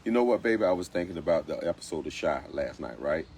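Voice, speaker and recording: A man's voice: low voice, lively intonation, fast talker, darkish tone, slight background noise. A man co-hosting a casual talk, speaking colloquially and animatedly, repeating phrases for emphasis. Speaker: co-host.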